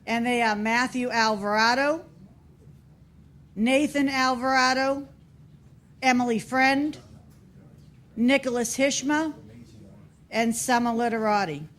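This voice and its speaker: Female, Boston accent, fairly high voice, unhurried formal delivery of an awards roll call. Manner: deliberate, announcing each name separately. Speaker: school staff member presenting student awards. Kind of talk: reading out names at a formal meeting. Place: school board meeting room.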